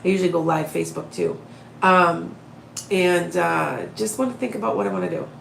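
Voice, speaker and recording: A woman's voice, low-pitched and gravelly, with a raspy edge from a head cold that leaves her sniffly and a little short of breath. She chats casually with live-stream viewers, rambling and often restarting her sentences. The sound is roomy and echoey. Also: a little fast, a bit bright, slight background noise. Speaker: psychic medium live-streaming to followers.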